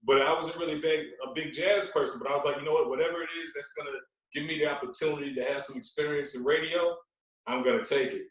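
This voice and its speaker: A man speaking as a podcast guest in casual storytelling style, animated and given to repeating himself. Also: on the high side, fast, echoey room, far from the mic, rough recording. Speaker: podcast guest, radio personality.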